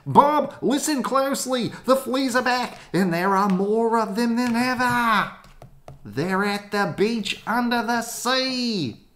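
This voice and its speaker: A reader putting on a high, breathless voice for an eager, naive cartoon reporter. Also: slow, fairly bright tone. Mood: excited.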